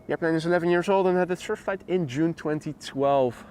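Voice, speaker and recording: A man's voice: on the high side, brisk, a little mellow, slight background noise. A man with a Dutch accent hosting a live plane-spotting stream outdoors, chatting casually with viewers and laughing often. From Netherlands. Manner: chatty, laughs often, reads chat aloud. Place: outdoors at an airport.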